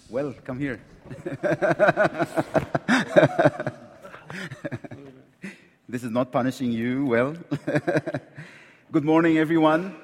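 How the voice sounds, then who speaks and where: A man's voice: on the high side, drawn-out words, pauses often, in a large hall, slight background noise. A man from Egypt with an Egyptian accent, preaching a sermon as a visiting preacher, earnest and repeating words as he searches for his phrasing. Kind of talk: sermon with prayer. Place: church sanctuary.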